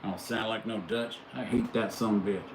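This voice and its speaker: A man's voice: fairly low pitch, steady tone, brisk. Chatty man in an echoey room. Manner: chatty, reacting to chat with amused disbelief. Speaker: livestream host. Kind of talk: casual live chat with viewers. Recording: echoey room, faint background noise.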